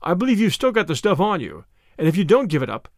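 A man's voice: high-pitched, sing-song, brisk, no room sound, studio quality. A man voicing a flamboyant, boastful giant thief in a storybook, with a theatrical, taunting manner. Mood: furious disbelief. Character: flamboyant, gloating giant master thief, male, boastful and mocking.

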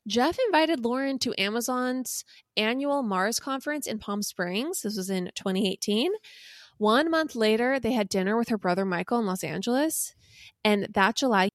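A woman's voice: medium pitch, fairly bright tone, no echo, clean studio sound. A woman co-hosting a podcast, telling a recap in a conversational, teasing and playfully dramatic way. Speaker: podcast co-host.

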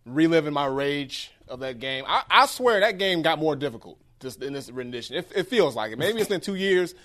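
A man's voice: fairly high pitch, lively intonation, brisk, fairly bright tone. A man co-hosting a podcast, talking casually and informally about video games with slang and easy swearing. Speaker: podcast co-host. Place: podcast studio.

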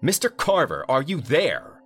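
A man voicing an irate, wealthy male winery owner who blusters and booms his complaints. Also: big pitch swings, a little slow, a bit bright, soft background music, full character voice. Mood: indignant. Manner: angry, blustering, booming.

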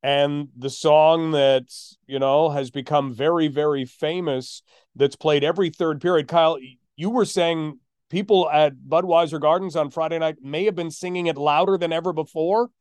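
A man hosting a hockey podcast as a play-by-play announcer, telling stories in a measured way with drawn-out emphasis. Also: fairly high pitch, fairly even intonation, a little mellow, clear, resonant tone, no echo. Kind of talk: sports podcast conversation.

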